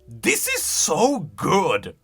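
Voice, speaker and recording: A man giving casual, emphatic commentary as a gaming YouTuber, excitable in his delivery. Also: high voice, very slow, soft background music. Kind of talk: casual lore commentary, reacting to voice lines.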